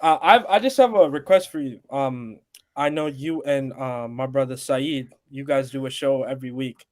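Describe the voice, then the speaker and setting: A man's voice: medium pitch, normal speed. A man from Pakistan with an American accent, calling in to a talk show, earnest and polite. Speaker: caller. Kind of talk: casual call-in chat.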